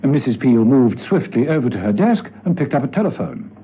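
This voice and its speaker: A man acting as the narrator of a spy radio serial, telling the story in a measured, dramatic way. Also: medium pitch, sing-song, natural voice. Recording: dull, noisy old radio recording.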